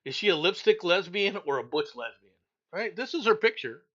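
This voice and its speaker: A man's voice: high voice, very animated, normal speed, bright, forceful tone, lo-fi sound. A male talk show host giving blunt, opinionated news commentary, repeating himself for emphasis.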